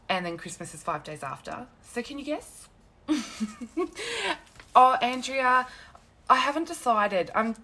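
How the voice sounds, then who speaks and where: A young woman with a croaky, sore-throated voice, chatting casually with viewers while she hosts a crafting live stream from her desk at home, laughing often and greeting commenters by name. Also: medium pitch, bright, forceful tone. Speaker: paper-craft demonstrator hosting a live stream. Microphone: phone camera.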